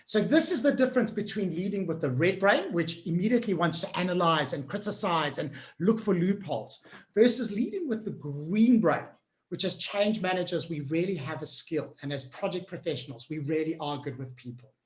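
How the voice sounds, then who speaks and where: A woman trainer leads a video-call workshop, cheering her group on. Her pitch swings up and down a great deal as she speaks. The call picks up a roomy echo around her. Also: expressive, fairly quick. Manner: upbeat, encouraging, talks to the group directly. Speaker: change management trainer hosting a webinar. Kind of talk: online workshop coaching.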